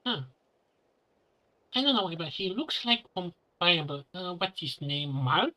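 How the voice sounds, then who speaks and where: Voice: medium pitch, lively intonation, unhurried, pushed and bright. A game streamer giving casual, informal live reactions while playing, with muttered asides.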